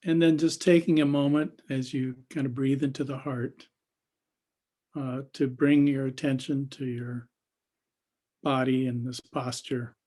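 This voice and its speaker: A man with a husky voice leading an unscripted guided meditation as a teacher, speaking haltingly with long pauses and trailing phrases. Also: mid-range voice, little pitch movement, dark tone.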